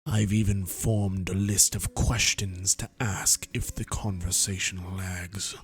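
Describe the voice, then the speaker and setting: A man in his twenties with a strained, tiring voice, reading a visual novel aloud in a slow, deliberate storybook manner with dry self-mocking asides. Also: low voice, level tone. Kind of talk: dramatic read-through of game text with asides to friends.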